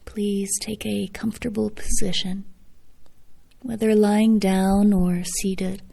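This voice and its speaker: A woman guiding a meditation in a soft, hushed, breathy voice, speaking gently with long pauses between phrases. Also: medium pitch, little pitch movement. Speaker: yoga nidra meditation guide. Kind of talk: guided meditation, scripted and soothing.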